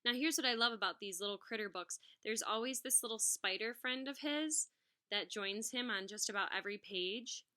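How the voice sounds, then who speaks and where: A woman with a bright, fairly high voice reads a children's storybook like a teacher. She is expressive and pauses to explain things directly to young listeners. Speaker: music teacher reading aloud to pupils. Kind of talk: children's storybook read-aloud with asides.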